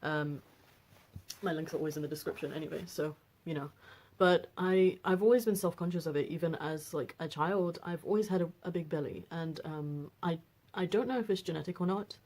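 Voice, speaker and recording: A woman in her twenties with a deep voice, talking casually on a personal vlog with lots of fillers and restarts. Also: brisk, darkish tone. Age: 20s. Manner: rambling, frequent fillers and restarts. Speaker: vlogger.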